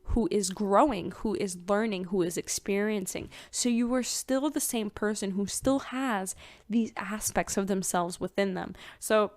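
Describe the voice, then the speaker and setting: A woman's voice: mid-range voice, measured pace, dry recording. A woman in her twenties hosting a casual spiritual podcast, chatty and rambling with many fillers. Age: 20s. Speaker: podcast host.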